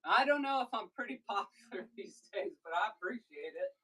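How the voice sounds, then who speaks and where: A woman from Missouri with a deep voice, chatting casually on a livestream while she packs and ships orders, often breaking off mid-thought to handle a task. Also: animated, a little fast, pushed and bright, slightly rough, poor audio. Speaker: online seller streaming a live sale.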